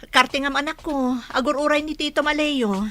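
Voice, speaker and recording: A woman's voice: high-pitched, steady tone, normal speed, fairly bright tone, slight background noise. A woman playing a tender, concerned wife and mother in a Filipino audio drama.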